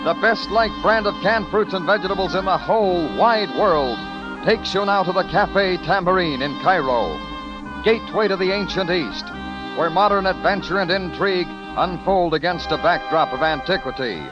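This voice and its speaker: A man's voice: high-pitched, moderate pace. A man announcing in 1950s sponsored radio style, with a grand, polished sales delivery. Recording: dull vintage radio, music behind.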